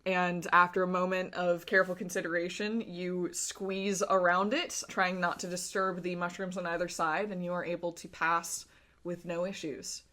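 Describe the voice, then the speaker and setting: A woman narrating and refereeing a tabletop fantasy game as game master, telling it with suspenseful pauses. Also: on the low side, little pitch movement, sharp tone.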